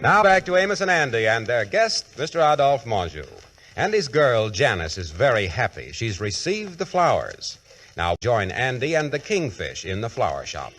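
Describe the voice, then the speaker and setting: A male 1940s radio announcer reads scripted narration. His mid-pitched voice moves with animated intonation, and faint music plays behind it. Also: normal speed.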